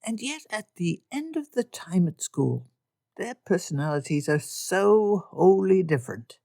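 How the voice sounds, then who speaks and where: Woman reading a weary, deadpan father. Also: lowish voice, a little slow, gravelly, dry recording, clean studio sound, heavily acted. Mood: dry weary. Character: weary dry-witted father of five daughters.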